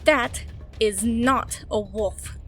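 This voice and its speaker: A woman's voice: a little high, very slow, fairly bright tone, faint music behind. A woman playing a tough, wry monster-hunting adventurer in a fantasy audio story, terse and dry. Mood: wary.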